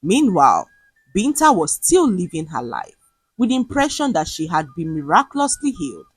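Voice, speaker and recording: A woman narrating a scripted dramatic folktale as a storyteller, with a slightly husky voice. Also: on the low side, big pitch swings, moderate pace, dry recording.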